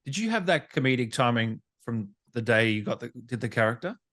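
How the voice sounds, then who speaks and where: A man's voice: mid-range voice, animated, brisk, a bit bright. A man hosting an interview, asking questions and responding in a casual, conversational style. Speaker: interviewer, host.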